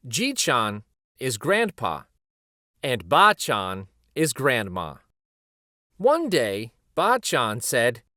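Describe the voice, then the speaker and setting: Slow, expressive man reading a storybook. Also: on the high side, no room sound, clean studio sound. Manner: unhurried, expressive delivery. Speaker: read-aloud storyteller. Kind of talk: children's picture book narration.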